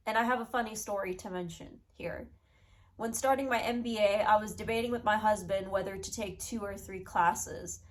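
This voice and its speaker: A young woman with a sick, nasal voice, talking earnestly to her channel and giving reflective advice in a casual vlog. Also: medium pitch. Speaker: YouTuber.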